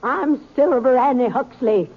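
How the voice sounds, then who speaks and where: High-voiced feisty old frontier woman. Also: a little slow, slightly rough, full character voice. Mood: defiant. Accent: American. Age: older. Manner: folksy, blunt, rustic speech. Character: feisty stubborn old woman silver miner. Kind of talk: 1950s western radio acting. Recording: muffled narrow-band 1950s radio recording.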